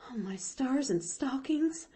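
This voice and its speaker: A woman's voice: a little high, animated, unhurried, mellow, husky, pitched above the performer's own voice. A woman voicing a kindly, dogged country housemaid in a storybook, folksy and ungrammatical, full of flustered exclamations. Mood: shocked.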